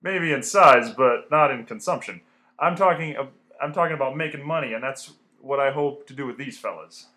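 A man playing a smooth-talking, scheming talent agent in an old-time radio comedy, with folksy salesman patter. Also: mid-range voice, normal speed, pushed and bright, close to an everyday voice. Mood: scheming enthusiasm.

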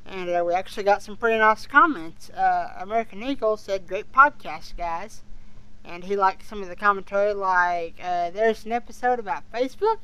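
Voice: medium pitch, moderate pace, pushed and bright, smooth, low-quality recording. A podcast co-host chatting casually in a somewhat hesitant, conversational way about a show they follow. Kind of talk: casual fan discussion of an audio drama series.

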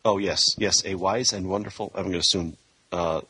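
Easygoing husky-voiced man. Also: sits low, steady tone, a little fast, bright, forceful tone, dry recording, rough recording. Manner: easygoing, laughs along, reads listener feedback. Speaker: podcast co-host. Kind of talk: casual podcast chat.

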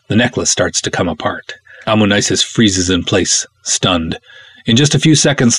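Low-voiced American man, playful podcast storyteller. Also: a bit bright, husky. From Minnesota, United States. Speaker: podcast host. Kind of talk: expressive story reading and podcast hosting.

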